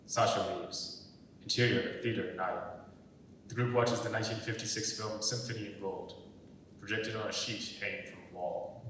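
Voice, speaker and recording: A young man with a fairly low voice reads stage directions as scripted narration. He sounds distant in a very echoey room, over faint rumbling noise. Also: fairly even intonation, moderate pace, fairly bright tone. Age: young adult. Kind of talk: scripted narration at a dramatic reading.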